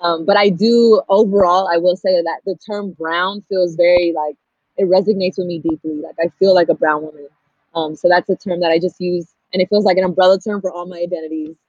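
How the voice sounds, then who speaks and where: A woman's voice: lowish voice, steady tone, brisk, a little mellow, no echo. Muffled, dry-sounding young Californian woman. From Pasadena, California, United States. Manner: candid, reflective. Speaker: podcast guest, storyteller and activist. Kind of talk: casual personal storytelling.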